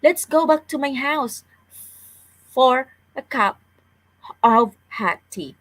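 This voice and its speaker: A woman's voice: high-pitched, expressive, slow, a bit bright, voice pushed up, heavily acted, poor audio. A woman voicing a reassuring, practical father in a children's storybook, in an everyday reading voice. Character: calm, reassuring father coming to the rescue.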